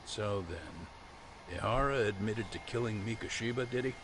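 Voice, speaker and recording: An actor playing a seasoned, skeptical senior lawyer in a crime drama game, measured and questioning. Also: male voice, on the low side, background noise, lightly acted. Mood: skeptical, probing. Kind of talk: dramatic English game voice acting.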